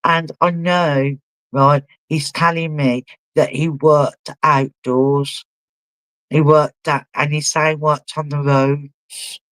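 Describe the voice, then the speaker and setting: A man working as a spiritual medium, giving a live reading in a halting way and often asking the sitter whether it makes sense. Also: a little high, level tone, fairly bright tone, clear, resonant tone, no room sound, poor audio. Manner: halting, relays messages and keeps asking the sitter to confirm.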